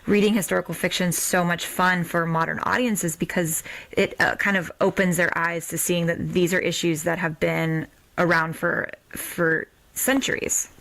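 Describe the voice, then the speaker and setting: Female podcast host. Slightly low voice with plenty of fry, chatty and informal. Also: flat delivery, moderate pace, a bit bright. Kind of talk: casual conversational interview.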